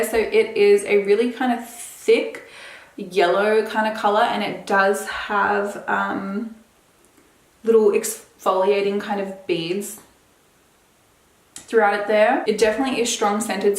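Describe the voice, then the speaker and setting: A woman's voice: mid-range voice, echoey room, low-quality recording. A young adult woman casually reviewing a skincare product, chatty, with plenty of fillers. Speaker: beauty vlogger.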